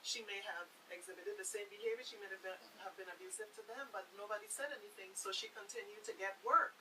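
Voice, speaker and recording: A woman giving advice in conversation, explaining in measured phrases as a panelist. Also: medium pitch. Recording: slightly distant with faint hiss.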